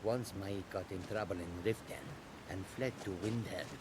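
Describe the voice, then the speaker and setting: An actor playing a wandering game character who recites news on the road, heard as distant game audio. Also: male voice, low-pitched, moderate pace, noisy background, close to an everyday voice. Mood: matter-of-fact.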